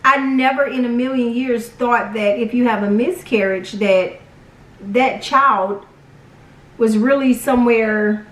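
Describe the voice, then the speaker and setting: A young woman telling a personal story casually, with animated, dramatic retelling and quoted dialogue. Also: a little high, measured pace. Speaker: vlog storyteller. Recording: roomy echo, distant from mic.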